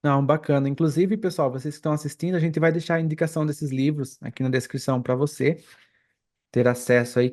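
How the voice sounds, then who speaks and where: A man's voice: on the high side, a little mellow. Brazilian male podcast host, informal interviewer, chatty conversational delivery. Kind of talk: casual interview chat.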